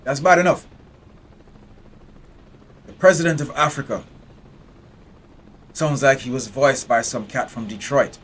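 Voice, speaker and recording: A man's voice: medium pitch, animated, measured pace, long pauses, a bit bright, breathy edge. A man from Jamaica, giving blunt, profane live commentary while streaming a game.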